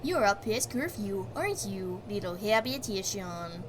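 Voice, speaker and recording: Woman playing a hushed, creepy bedtime storyteller. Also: medium pitch, animated, noisy background, lightly acted. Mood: hushed menace. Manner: slow, hushed, menacing, taunting. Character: creepy controlling bedtime storyteller doctor taunting a child. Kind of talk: horror bedtime story narration.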